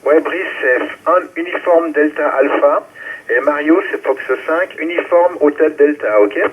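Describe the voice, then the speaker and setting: A man's voice: a little high, unhurried, breathy edge. A man acting as amateur radio net controller, talking informally and calling stations over a radio link. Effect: ham radio transmission. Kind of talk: running a ham radio round-table, call signs. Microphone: radio transceiver microphone. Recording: muffled narrow-band amateur radio relay.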